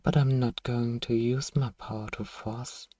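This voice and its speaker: Slow, commanding vampire played by a man. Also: medium pitch, fairly even intonation, no room sound, studio quality, far from the mic. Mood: coaxing. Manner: theatrical, commanding, softly menacing, condescending. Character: powerful suave manipulative male vampire, commanding yet coaxing. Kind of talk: ASMR roleplay, scripted hypnotic monologue.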